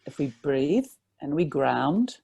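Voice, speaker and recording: A woman voice teacher talking in a reflective, spiritual way in a relaxed conversation, sometimes showing high and loud notes as she talks. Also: slow pace, vocal fry, husky. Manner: reflective, spiritual, demonstrates pitch while talking.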